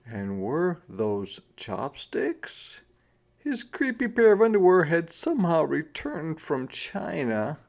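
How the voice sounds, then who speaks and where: Very slow, dramatic male storybook reader. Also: medium pitch, vocal fry, a little mellow. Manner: dramatic pauses, drawn-out key words. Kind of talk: children's read-aloud narration.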